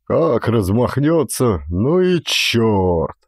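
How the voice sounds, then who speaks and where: Audiobook role: strapping Cossack son acted by a man, gruff, careless muttering, very dry sound. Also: medium pitch, sing-song, drawn-out words, dry recording, heavily acted. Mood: gruff, dismissive. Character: burly, reckless young Cossack son, gruffly shrugging off injury.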